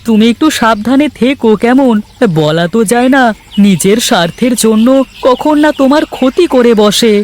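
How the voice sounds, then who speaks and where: A man's voice: very high-pitched, expressive, moderate pace. A man playing a gossipy village neighbour in a cartoon, chatty and confiding, with a cautioning manner. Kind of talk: Bangla cartoon voice acting.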